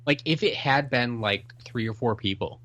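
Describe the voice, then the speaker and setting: A man's voice: mid-range voice, moderate pace, bright, forceful tone. A man co-hosting a casual podcast, reading listener emails and chatting with many restarts and fillers. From Washington State, US. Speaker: podcast co-host.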